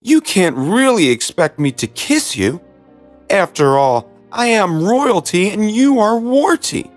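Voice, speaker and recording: A man's voice: high-pitched, very animated, takes it slowly, faint music behind, voice pushed up, full character voice. A man voicing a haughty, squeamish young princess in a storybook, with an indignant, imperious manner. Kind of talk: storybook character voice.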